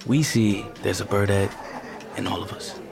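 An eager, placating man making a pitch in an audio drama. His voice is fairly low, with music underneath. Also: lively intonation, moderate pace, vocal fry. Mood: earnest pitch. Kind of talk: audio fiction drama acting.

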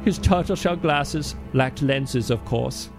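A man playing a solemn, gloomy German cultural ambassador with a German accent, giving a dramatic comic reading and pedantic deadpan explanations. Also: medium pitch, slow. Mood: ominous. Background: music behind the voice.

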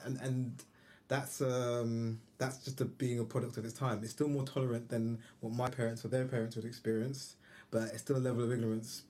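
A man's voice: mid-range voice, a little mellow. Thoughtful man in casual podcast chat. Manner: thoughtful, restarts sentences. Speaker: podcast guest. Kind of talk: casual conversation.

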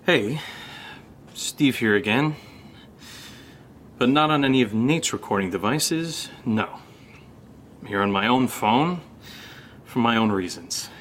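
A man's voice: mid-range voice, lively intonation, takes it slowly, pauses often, a bit bright, slight background noise. A man acting an anxious young American who plays the rational friend, in a naturalistic horror audio drama. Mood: uneasy, confiding. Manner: casual, hesitant, sweary.

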